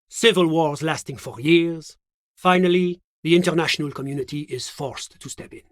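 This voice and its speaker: A man's voice: on the high side, no room sound, clean studio sound, close to an everyday voice. A man voicing a smug, genteel international bureaucrat in a video game, urbane, coy and condescending in a theatrical way. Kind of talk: video game character dialogue.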